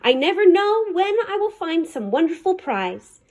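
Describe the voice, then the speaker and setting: Storybook role: woman as earnest farm lad full of wonder, high-pitched and lively in intonation. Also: moderate pace. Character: earnest farm boy turned snow-loving photographer.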